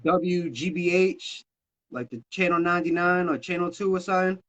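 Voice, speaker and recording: A man's voice: high voice, fairly even intonation, normal speed, fairly bright tone. A man in his thirties from Massachusetts, a rapper talking loosely and casually in an interview, swearing freely. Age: 30s. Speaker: rapper guest.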